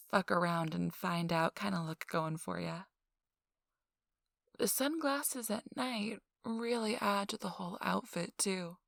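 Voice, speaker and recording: Woman playing a slow, sultry, teasing socialite. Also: lowish voice, gravelly, dry recording, clean studio sound.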